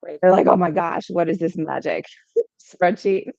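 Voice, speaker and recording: A young adult woman from Hawaii speaks as a guest founder in a podcast interview. Her voice sits low and mellow, and she talks conversationally, often adding "um" and "right?". The recording is dry and a little dull. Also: fairly even intonation, normal speed, gravelly, dry recording. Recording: dull lo-fi sound.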